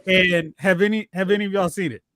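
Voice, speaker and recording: A man's voice: high-pitched, fairly even intonation, brisk, a bit bright. A man hosting a movie talk show, chatting casually and jokingly about films and recapping news.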